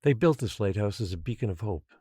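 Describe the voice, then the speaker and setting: An actor playing a patient, controlling guardian who secretly rules an island, speaking in a measured, quietly menacing way in an audio drama. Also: male voice, on the low side, animated, husky, no room sound, studio quality. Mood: solemn, revealing.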